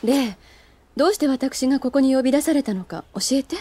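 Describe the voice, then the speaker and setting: A woman's voice: high voice, lively intonation, fairly quick, mellow. A woman playing a poised, aloof, ladylike senior schoolgirl in an anime audio drama. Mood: demanding.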